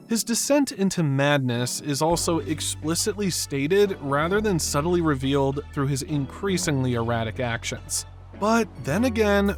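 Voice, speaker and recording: A man delivering scripted narration as the narrator of a video essay. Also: fairly high pitch.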